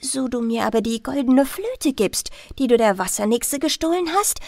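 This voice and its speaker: A woman voicing a wary, quick-witted small child in a fairy tale, speaking firmly and plainly. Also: sits high, animated, a little mellow, dry recording, clean studio sound. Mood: resolute.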